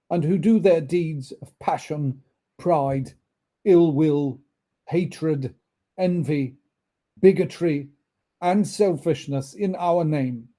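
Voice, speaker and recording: A man voicing a gigantic, genial yet admonishing spirit with emphatic, deliberate delivery in a storybook reading. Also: a little high, warm tone. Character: gigantic male Christmas spirit, genial but solemnly admonishing.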